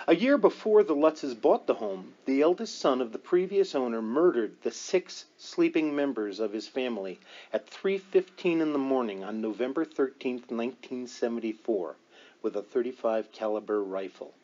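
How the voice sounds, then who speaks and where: A man's voice: mid-range voice, lively intonation, normal speed, warm tone, highs cut off. A man reading a paranormal book aloud as scripted narration, with a dramatic storytelling delivery. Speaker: audiobook reader.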